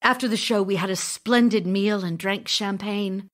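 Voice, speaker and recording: Casting: woman as restless, effusive stage-actress wife for radio play, medium pitch, theatrical and teasing. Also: moderate pace, natural voice. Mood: keyed up, affectionate. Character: vivacious, self-dramatizing actress wife, devoted yet restless. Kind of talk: audio drama acting, reading love letters.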